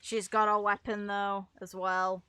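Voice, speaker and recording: A woman's voice: mid-range voice, little pitch movement, measured pace, pushed and bright, clear, resonant tone, dry recording. A young adult woman giving casual, rambling game commentary in an informal, amused way, as a streamer.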